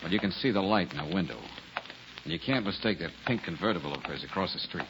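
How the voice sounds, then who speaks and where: An actor playing a cool, wry, unflappable private detective in a 1940s radio crime drama. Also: male voice, deep voice, animated, fast talker, noisy background. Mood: cool, needling. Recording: muffled old radio recording.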